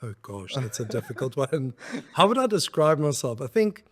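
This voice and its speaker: A middle-aged man from South Africa, a podcast guest talking reflectively in a relaxed interview and laughing easily. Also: medium pitch, moderate pace, slight background noise. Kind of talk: conversational interview.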